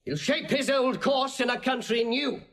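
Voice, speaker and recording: High-voiced, defiant nobleman. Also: expressive, moderate pace, very noisy. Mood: resolute farewell. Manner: earnest, declamatory, outspoken. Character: blunt, loyal nobleman, passionate and defiant. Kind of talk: classical Shakespearean audio drama acting.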